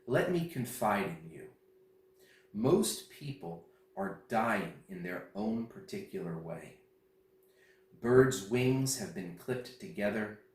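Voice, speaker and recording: A man with a slightly low voice recites poetry at a slow pace. The room echoes around him and he sounds a little way from the microphone. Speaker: poetry reader at a festival. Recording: roomy echo, distant mic.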